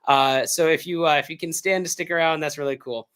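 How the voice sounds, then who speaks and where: A man with an American accent, hoarse from illness, chatting casually and upbeat as the host of a livestream Q&A, with lots of fillers. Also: on the high side, fairly even intonation, a little fast, fairly bright tone. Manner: rambling, filler-heavy, upbeat. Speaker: author hosting a livestream Q&A.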